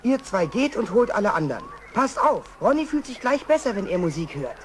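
An actor playing an eager, kindly young lion cub, earnest and cheerfully bossy, in a cartoon dub. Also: male voice, sits high, big pitch swings, a little fast, a little hiss or hum. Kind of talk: German-dubbed cartoon dialogue.

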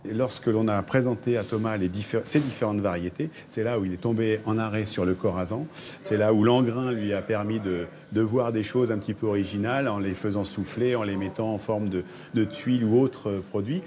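Man, miller interviewee, spontaneous talk, dark mellow tone, slightly low pitch. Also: brisk.

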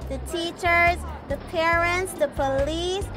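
A woman's voice: extremely high pitch, slow, music in the background, a crowd in the background. A woman playing a confident, know-it-all young Jamaican girl who explains things like a little teacher in a children's puppet show. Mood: reassuring.

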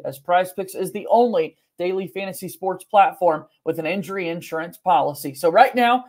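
A man's voice: high-pitched, a little mellow. A young man hosting a sports podcast in a conversational way, recorded in a room at home. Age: young adult. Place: small home room, indoors.

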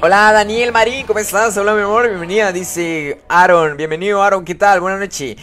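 A fairly high-voiced man running a live gaming stream, talking casually and warmly to his viewers and reading their messages out loud. Faint music plays quietly under his voice. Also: fairly even intonation, a little fast. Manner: chatty, greets viewers and reads chat aloud. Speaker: live streamer playing with followers.

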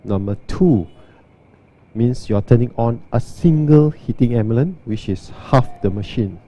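A man, giving a steady, step-by-step walkthrough and demo of an espresso machine. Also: mid-range voice, unhurried. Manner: steady, step-by-step instructional delivery. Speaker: barista demonstrating an espresso machine.

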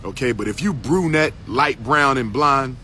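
A man's voice: medium pitch, lively intonation, measured pace, sharp tone, slight background noise. Emphatic middle-aged man preaching outdoors. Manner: preachy, emphatic, repetitive, rising to declamation. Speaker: lecturer addressing followers. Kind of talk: impassioned selfie video monologue. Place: outdoors in a city park. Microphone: phone at arm's length.

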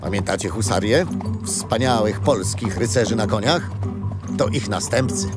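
A man's voice: on the low side, normal speed, natural voice. An actor playing a gallant man of prewar times in a children's radio play, speaking in a courtly, declamatory way. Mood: courtly, proud. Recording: music behind.

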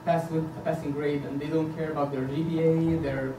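A man in his thirties, raised in Egypt, presents himself at a podium in an earnest, halting way, filling pauses with "uh". His somewhat high voice is captured from a distance, so the room's echo and a steady hiss come through clearly. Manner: hesitant, many fillers, earnest. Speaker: board candidate. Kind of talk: campaign presentation. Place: meeting room indoors, at a podium. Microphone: far camera. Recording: echoey room from a distance, background hiss.

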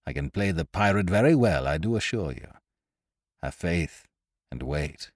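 A man's voice: deep voice, expressive, measured pace, no echo, clean studio sound, exaggerated voice. A man voicing a reckless, jovial male sea adventurer who speaks in swaggering, old-fashioned seafaring talk. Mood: mocking. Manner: swaggering, archaic seafaring speech.

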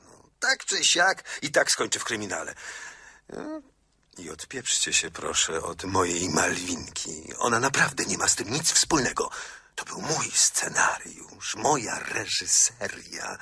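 Smug, husky, slow-talking criminal lover. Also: male voice, medium pitch, sharp tone, heavily acted. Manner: taunting, playful, drawn-out endearments. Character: smug, mocking fugitive murderer and screenwriter, sweet-talking lover. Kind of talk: radio drama acting.

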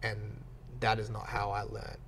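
A young man in his late twenties, talking openly on a podcast with a gravelly, fry-edged voice that sits fairly low. He speaks frankly, with easy self-mocking humor. A faint ambient hiss hangs behind him. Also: monotone, a bit bright. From Australia. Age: 20s. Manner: candid, quick to laugh at himself. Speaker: podcast guest, gym owner. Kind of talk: casual podcast chat.

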